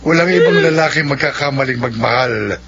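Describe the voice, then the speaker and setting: A man's voice: medium pitch, brisk, a bit bright, natural voice. A man playing an obsessive, lovelorn aswang villain in a Filipino horror radio drama, melodramatic and insistent, with a Filipino accent. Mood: possessive wooing. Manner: melodramatic, insistent, pleading and gloating. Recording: muffled, noisy radio drama recording.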